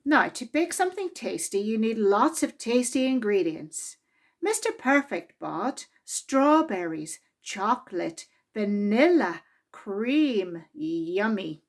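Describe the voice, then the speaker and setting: A woman reading a children's story aloud in an expressive, deliberate way, drawing out key words for emphasis. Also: medium pitch.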